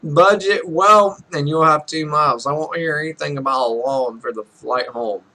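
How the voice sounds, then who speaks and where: Man playing a stern lecturing dad, bright-voiced. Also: fairly high pitch, normal speed. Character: stern dismissive father giving gruff warnings.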